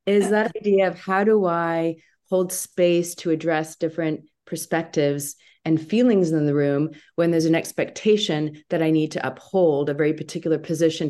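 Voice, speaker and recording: A woman's voice: deep voice, mellow. A woman taking part in a webinar discussion, talking in a casual, thoughtful way. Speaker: webinar panelist asking a question.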